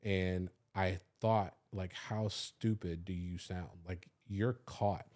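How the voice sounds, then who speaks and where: A man's voice: deep voice, steady tone, takes it slowly, a little mellow. A man, telling a candid personal story on a podcast, loose and confessional, swearing freely with lots of "like". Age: 30s. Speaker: podcast host.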